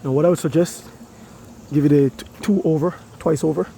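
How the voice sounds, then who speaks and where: A hoarse man who has lost his voice, casually explaining an air conditioner cleaning while he works outdoors. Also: a little high, fairly even intonation, a little fast, dark tone, slight background noise. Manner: loose, repetitive, talks while working. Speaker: HVAC technician. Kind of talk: casual how-to demonstration.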